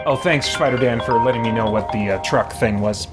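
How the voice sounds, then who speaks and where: Male gaming streamer, casual, rambling, hesitant, thinking aloud, mid pitch, music in background. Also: fairly quick. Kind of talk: casual chat while playing. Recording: music behind the voice. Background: game music.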